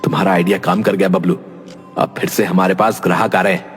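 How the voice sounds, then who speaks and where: A man's voice: deep voice, little pitch movement, fast talker, long reverb tail, soft background music, natural voice. A man voicing a crafty, grumbling rival barber plotting in a cartoon story. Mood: pleased, sly. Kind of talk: Hindi cartoon moral-story acting.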